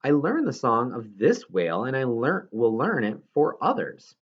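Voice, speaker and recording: A man's voice: medium pitch, very animated, normal speed, husky, dull audio. A man reading a children's novel aloud as scripted narration, now and then stumbling over a word. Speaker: audiobook reader.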